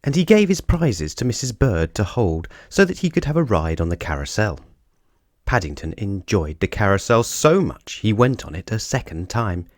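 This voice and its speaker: A man narrating a children's storybook as an expressive storyteller. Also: lowish voice, moderate pace, no echo, studio quality.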